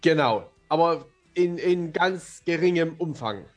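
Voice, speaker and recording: Man, fairly high voice, casual podcast guest, thoughtful, self-deprecating, faint music behind. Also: expressive, unhurried. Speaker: author, interview guest.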